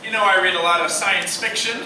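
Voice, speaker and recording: A man's voice: high-pitched, fairly even intonation, brisk, pushed and bright. Bookish, earnest male student played by a young man, conversational radio drama, distant with background noise. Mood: earnest, intrigued. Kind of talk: conversational live radio play acting. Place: live performance room, distant mic. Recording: echoey, noisy live theatre recording from afar.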